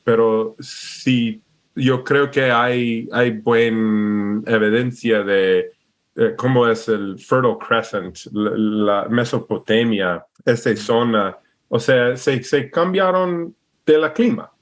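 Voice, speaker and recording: Slow, halting American man speaking Spanish. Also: medium pitch. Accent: American English. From Alaska, USA. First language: English. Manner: hesitant, searching for words with many restarts. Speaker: podcast guest. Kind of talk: casual interview conversation.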